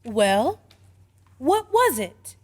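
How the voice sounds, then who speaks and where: A woman playing a gentle, sincere mother in an old-time radio drama, speaking earnestly and with measured care. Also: on the high side, sing-song, breathy edge, heavily acted. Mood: earnest, reassuring.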